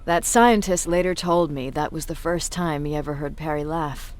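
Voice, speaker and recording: A woman's voice: low voice, normal speed, natural voice. A woman playing a battle-hardened resistance commander in a video game, with a blunt, authoritative manner. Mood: wry, reminiscing.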